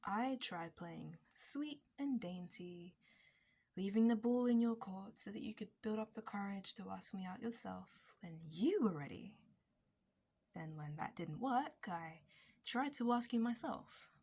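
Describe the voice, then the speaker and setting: A woman performs a lovesick, possessive female barista turned kidnapper for an ASMR roleplay. Her medium-pitched voice is intimate and breathy, often sinking toward a whisper. She sounds wounded and unhinged, with bitterness simmering under the softness. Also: expressive, a little slow. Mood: pleading, resentful. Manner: intimate, breathy dropping into whispers, nervous laughs and sudden bitter flares.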